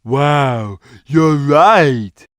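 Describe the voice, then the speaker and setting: An actor playing a big, gentle, simple-minded cat in a video game, talking in a slow, drawn-out way. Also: male voice, on the high side, animated, very noisy. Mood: mild wonder. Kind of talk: video game character lines.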